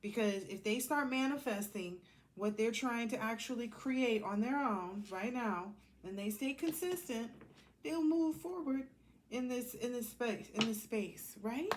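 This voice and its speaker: A woman's voice: a little high, unhurried. A woman giving a casual, improvised tarot reading, talking colloquially to herself and to the cards as she goes. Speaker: tarot reader hosting a collective reading.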